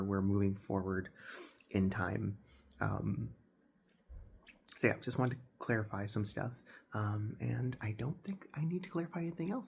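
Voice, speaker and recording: A man's voice: fairly low pitch, creaky. A young man hosting a music channel, chatting casually and rambling with lots of fillers and restarts. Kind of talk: casual talk to camera. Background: metal music playing.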